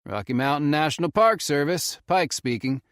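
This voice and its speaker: A man's voice: on the high side, animated, normal speed, a bit bright, no room sound, studio quality, voice pushed up. A man voicing a middle-aged male park ranger with a thick Southern drawl, folksy and casual. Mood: friendly.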